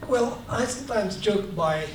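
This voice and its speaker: A man from Palestine giving a talk about his book, reflective and hesitant with many ums, growing animated as he tells anecdotes. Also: high-pitched, a little slow. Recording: echoey room, distant mic, faint noise.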